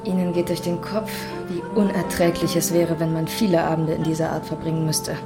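A woman's voice: low-pitched, level tone, brisk. An actress in a German radio drama playing a haughty, disdainful society lady with a snobbish, insinuating manner. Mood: disdainful, confiding. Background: music with instruments.

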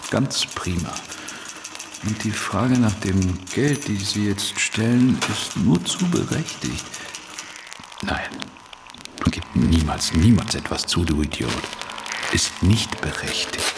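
A man's voice: sits low, very animated, slow, noisy background, full character voice. An actor playing a glib, scheming revolutionary father in a radio drama, with a theatrical manner. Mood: grandiose, impatient. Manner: theatrical, dictating and breaking off. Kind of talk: German radio drama acting. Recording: music bed behind the voice.

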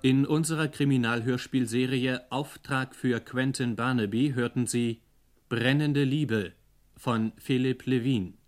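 A man giving a formal, measured scripted announcement as a radio announcer reading credits. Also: medium pitch.

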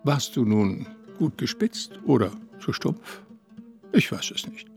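A man's voice: mid-range voice, sing-song, slow, vocal fry, darkish tone, soft background music, heavily acted. A male actor in a radio play voicing a wry, worldly-wise storyteller with formal, bookish narration. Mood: wistful, tender. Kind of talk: literary scripted narration.

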